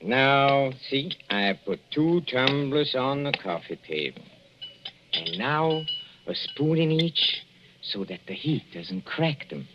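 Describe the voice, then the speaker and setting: An actor playing a fussy, cloying older husband with veiled menace in a 1940s radio drama, coaxing with oily endearments and small chuckles. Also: male voice, mid-range voice, lively intonation, slow. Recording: dull, noisy old radio recording.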